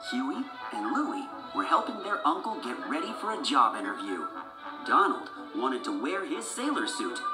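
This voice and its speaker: A man narrates a children's story with a very lively, expressive melody at middle pitch. The recording is roomy, and music plays behind him.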